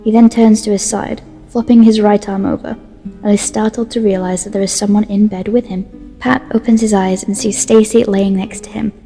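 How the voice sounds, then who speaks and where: A woman's voice: medium pitch, fairly even intonation, normal speed, gravelly. A woman reading scripted present-tense story narration for an audio drama. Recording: long reverb, faint music. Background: faint music.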